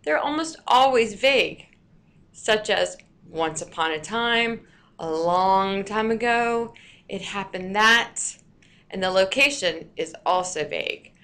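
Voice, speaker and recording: A woman narrating a scripted lesson as a teacher, with deliberate, storyteller-like emphasis. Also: mid-range voice, fairly bright tone, smooth.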